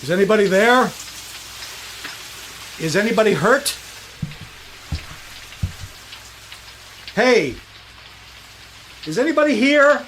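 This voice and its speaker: A man's voice: high voice, exaggerated voice, poor audio. A man acting a down-on-his-luck night watchman in a 1930s radio drama, telling his story in a wry, colloquial, hesitant way. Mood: alarmed, calling out. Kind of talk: first-person radio drama narration. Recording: voice over steady rain noise. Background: rain.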